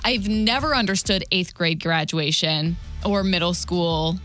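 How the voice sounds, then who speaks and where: A woman's voice: on the low side, measured pace, fairly bright tone, soft background music. A woman co-hosting a radio show in casual, chatty and colloquial banter. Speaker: radio co-host.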